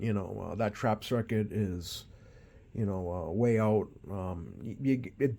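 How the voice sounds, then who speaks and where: A man giving unscripted, hands-on repair commentary as a technician, with halting, hesitant phrasing and trailing thoughts. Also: on the low side. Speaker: CB radio repair technician and channel host. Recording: steady background noise.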